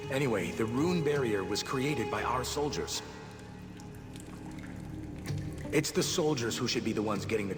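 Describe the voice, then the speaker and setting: An actor playing a modest, dutiful heroic prince in a fantasy video game, speaking earnestly and courteously. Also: male voice, medium pitch, close to an everyday voice. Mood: modest, concerned. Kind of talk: dramatic video game dialogue. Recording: reverberant, loud music underneath.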